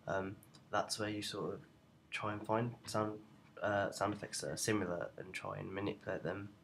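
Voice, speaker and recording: A young adult man giving an informal, unscripted software tutorial in a hesitant, rambling way, as a presenter walking through each step. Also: on the low side, flat delivery, normal speed, creaky. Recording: noticeable background noise.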